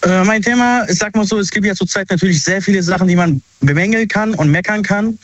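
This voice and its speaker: A man's voice: high voice, fast talker, dry recording. Polite young male caller, dull dry line. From Syria. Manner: polite, earnest. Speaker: phone-in caller. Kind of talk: casual personal account. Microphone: telephone. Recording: dull, dry lo-fi phone call.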